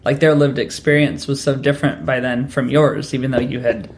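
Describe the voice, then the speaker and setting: A man hosting an interview, asking long, wandering questions with soft laughs. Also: medium pitch, little pitch movement, brisk, slight background noise. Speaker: podcast host. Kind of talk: conversational interview.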